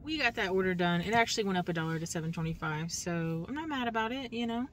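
A woman's voice: medium pitch, expressive, fast. A young woman chatting casually to her phone camera from inside a car, rambling with fillers. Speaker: vlogger, delivery driver. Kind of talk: casual vlog chat. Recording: loud road and car noise. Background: car and outdoor ambience.

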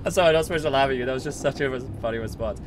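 A young man hosting an improvised Minecraft roleplay, animated and laughing as he talks over his partner. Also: mid-range voice, racing. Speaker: roleplay channel host playing a ghost hotel worker.

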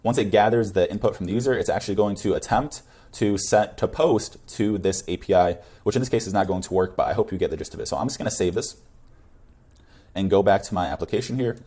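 A man's voice: fairly low pitch, fast talker, dark tone, husky. A young man presenting a coding tutorial in a casual screencast style. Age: young adult.

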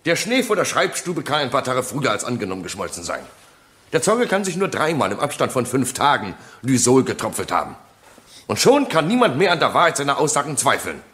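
A man's voice: mid-range voice, wildly swooping pitch, a little fast, fairly bright tone. A man playing an indignant, combative state prosecutor in a German crime radio drama, speaking formally and emphatically.

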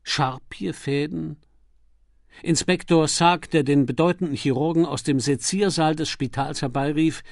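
A man reading a crime novel as an audiobook narrator, with a dramatic, deliberate delivery and emphatic pauses. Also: a little high, fairly even intonation, gravelly, no room sound, clean studio sound.

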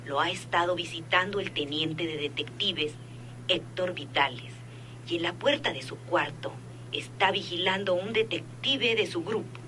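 A radio serial head nurse reporting as an informant. Her voice is low and sharp, her manner deferential and precise, with faint noise behind it. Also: moderate pace, rough recording. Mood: deferential, businesslike. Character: dutiful female head nurse secretly informing a crime boss. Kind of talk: radionovela acting.

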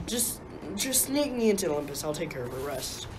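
A woman's voice: low voice, very animated, a little fast. A woman playing an earnest, defiant god who champions mankind, a little hesitant, in an amateur radio play. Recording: faint background noise.

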